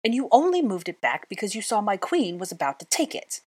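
A woman voicing an indignant, clever young witch who breaks into shrill, exasperated outbursts. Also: sing-song, fairly quick, a little mellow, slightly rough. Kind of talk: audiobook character voice.

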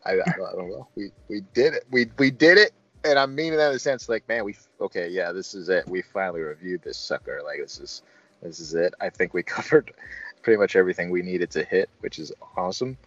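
Deep-voiced man hosting a casual review. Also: a little fast. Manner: informal, joking. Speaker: review show host. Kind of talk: casual film review chat. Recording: slightly dull, roomy sound with faint music.

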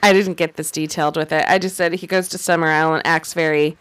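A woman co-hosting a casual movie recap who makes wry, sarcastic jokes and quick asides. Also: deep voice, steady tone, a bit bright, clean tone. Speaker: podcast co-host.

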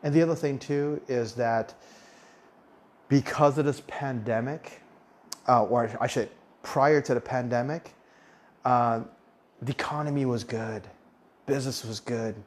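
A man's voice: medium pitch, measured pace, long pauses. Man in his forties, easygoing talker. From United States. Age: 40s. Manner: conversational, with frequent restarts and self-corrections. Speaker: podcast host on living abroad. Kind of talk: casual monologue.

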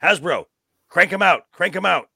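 Gravelly, bright-voiced man on a podcast. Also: sits high, animated, moderate pace, no echo, clean studio sound. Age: 50s. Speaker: podcast co-host. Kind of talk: casual panel chat reviewing a show.

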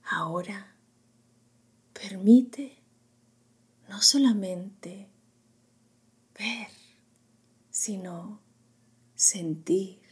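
A woman guiding a meditation in a soft, whispery, soothing voice, in short hushed phrases with long pauses. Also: medium pitch. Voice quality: breathy, whispery. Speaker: meditation guide.

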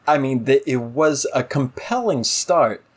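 A man's voice: medium pitch, animated, unhurried, breathy edge. Opinionated man in casual discussion. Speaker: podcast co-host.

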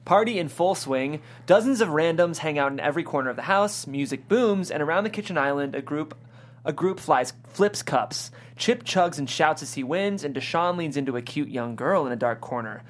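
A man's voice: fairly high pitch, animated, normal speed. A man reading scripted stage directions as narrator at a table read of a pilot.

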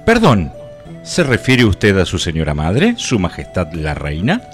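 An obsequious palace servant from a comic radio play. His low voice is pompous and deferential, dragging very slowly with big swoops of pitch. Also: huge pitch swings, soft background music, full character voice. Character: slow, obsequious royal servant.

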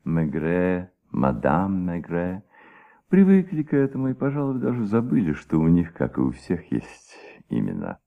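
An actor narrating a detective radio play in a measured, suspenseful, dramatic way on an old recording. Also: male voice, low voice, wildly swooping pitch, gravelly, narrow, tinny audio, exaggerated voice. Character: thoughtful male storyteller of a detective tale.

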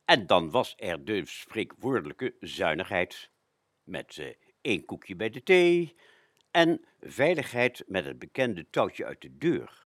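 A man presenting a radio show, narrating from a script with frequent hesitant pauses. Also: deep voice, big pitch swings, a bit bright, no room sound, studio quality.

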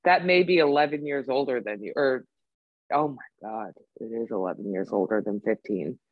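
A woman in her twenties with a notably low voice, chatting bluntly as a podcast co-host. She swears freely and gets excited about sports. The sound is dry and close, with no room echo. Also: moderate pace, lo-fi sound. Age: 20s. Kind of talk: casual chat.

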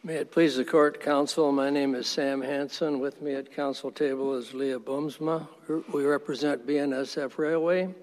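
A man arguing as attorney for the respondent before an appellate court, formal and measured, respectfully firm when he disagrees. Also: medium pitch, steady tone, a little mellow. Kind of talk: appellate oral argument. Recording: long courtroom reverb.

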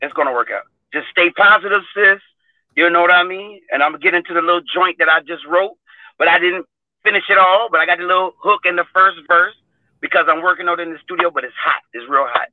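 A hoarse man talks over a tinny phone line, with a high voice and a dry, close sound. He rambles, repeats words and drifts into preaching about God. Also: fast, no echo. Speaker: guest singer. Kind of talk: casual chat and a cappella singing.

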